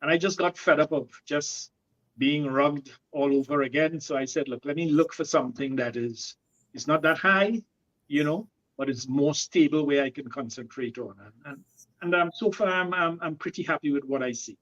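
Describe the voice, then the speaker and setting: A man in his late fifties from Trinidad, a guest in a casual chat who talks in an easygoing way with many pauses and fillers. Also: a little high, lo-fi sound. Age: 50s. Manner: relaxed, hesitant with many fillers.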